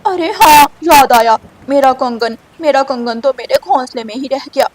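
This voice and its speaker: Woman voicing a high, insistent crow wife. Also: moderate pace. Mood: crafty, pleading. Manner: insistent, complaining. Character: female crow wife, complaining and crafty mother. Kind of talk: children's cartoon voice acting.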